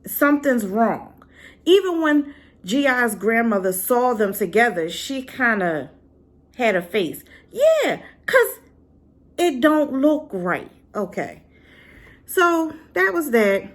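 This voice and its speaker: A divorced adult woman giving a sassy, animated casual review straight to her viewers. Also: a little high, slow pace. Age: adult, been married and divorced. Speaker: drama reviewer.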